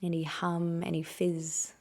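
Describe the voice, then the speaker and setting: A woman with a lowish voice and a distinct creak guides a meditation. She speaks in gentle, unhurried phrases, offering instructions as soft invitations and questions. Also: completely flat delivery.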